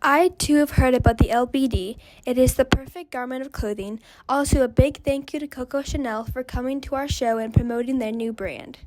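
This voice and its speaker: High-voiced woman playing a polite 1920s radio hostess. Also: a little fast, few pauses, darkish tone, a little hiss or hum, close to an everyday voice. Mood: upbeat, polite. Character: upbeat woman host of a 1920s women's rights radio show. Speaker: radio host. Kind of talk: scripted amateur 1920s radio show.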